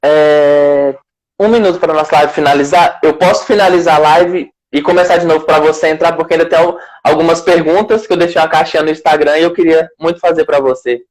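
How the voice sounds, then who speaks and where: A man hosts a relaxed live interview in a friendly way, laughing easily. His voice sits a little high for a man. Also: fairly quick, low-quality recording. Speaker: live-stream host.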